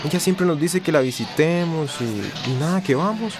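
Playful, higher-voiced boyfriend. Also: male voice, expressive, moderate pace, over music. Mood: coaxing. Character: playful, coaxing boyfriend on a dinner date. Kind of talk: radio soap acting.

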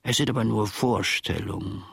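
An actor playing a grumbling, pedantic, world-weary manservant who philosophizes in a rambling, theatrical radio play monologue, with a gravelly, husky voice. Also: male voice, on the low side, no room sound, studio quality, full character voice. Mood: musing, wry. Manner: rambling, theatrical, pseudo-learned, halting.

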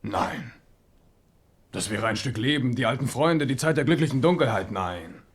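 Male actor as earthy genial king, mid-range pitch, highly animated intonation, familiar manner, theatrical radio play delivery. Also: normal speed, natural voice. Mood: wistful, subdued. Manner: jovial, familiar, theatrically expressive. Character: genial, earthy king, warm with commoners and old comrades. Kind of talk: German radio play acting.

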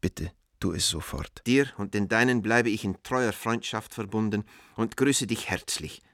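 A worried, earnest art dealer in a radio drama, speaking a letter to a friend. The voice is low and measured, with literary phrasing and a close, dry sound. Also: male voice, a bit bright, dry recording. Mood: anxious, affectionate. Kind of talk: radio play letter monologue.

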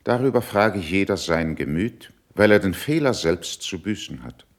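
An actor playing a cool, cautious, middle-aged statesman in a classical German verse radio play, measured, dry and admonishing. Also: low voice, lively intonation. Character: cool, worldly, cautious older statesman, sceptical and superior.

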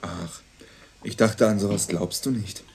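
A young police dispatcher in a radio drama. His voice sits fairly low, and he answers patiently in a dry, laconic manner. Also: steady tone, a little slow, darkish tone, breathy edge. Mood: dry, calm. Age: young adult. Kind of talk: radio play acting.

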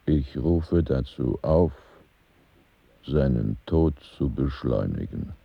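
An actor playing a cold, menacing Soviet dictator who deliberately proclaims his orders in a radio play. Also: extremely low pitch, lively intonation, creaky, highs cut off, noisy background, heavily acted. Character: menacing Soviet dictator pronouncing death on enemies.

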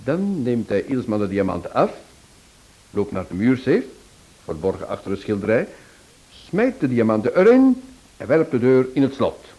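A man's voice: mid-range voice, big pitch swings, moderate pace, dark tone, poor audio. Theatrical male sleuth, swooping intonation. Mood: dramatic relish. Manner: emphatic, theatrical storytelling. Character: theatrical male sleuth recounting a case with relish. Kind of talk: Dutch radio play acting.

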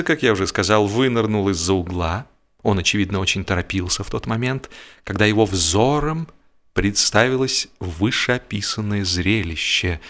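Low-pitched man narrating a humorous story. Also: fairly bright tone. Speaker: audiobook narrator. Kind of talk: humorous scripted literary narration.

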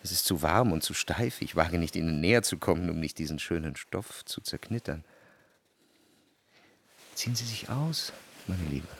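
An actor playing an anxious, jealous lover who recalls his life in measured, introspective radio drama narration. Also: low-pitched, expressive, slight background noise. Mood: quiet, coaxing. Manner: measured, introspective, literary phrasing. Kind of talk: literary radio drama narration with quiet dialogue.

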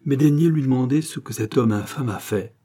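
A man's voice: mid-range voice, a little fast, warm tone, close to an everyday voice. A man reading a fervent, commanding doctor. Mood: pleading. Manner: commanding, rising to fervent declamation. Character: intense male doctor imposing his will.

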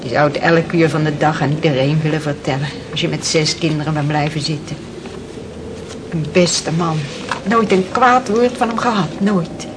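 A woman's voice: deep voice, moderate pace, lightly acted. An actress playing a sorrowful, twice-widowed fisherman's wife in a radio drama, telling her story in plain, emotional words. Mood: grieving. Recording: noisy old radio play recording.

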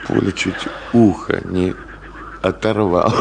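Caring anxious soldier, slow halting speech, soothing manner, radio drama, clearly noisy recording. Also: male voice, low-pitched, level tone, exaggerated voice. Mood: soothing, worried. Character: anxious, caring soldier comrade tending a friend. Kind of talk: Soviet radio drama acting.

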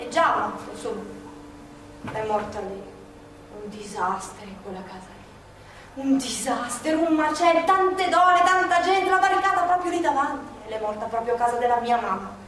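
An actress playing resolute working women of wartime Milan in emphatic, colloquial theatrical speech that repeats phrases. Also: female voice, fairly high pitch, natural voice. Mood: grieving, stunned. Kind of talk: theatrical dialogue and testimony. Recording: distant echoey stage recording with noise.

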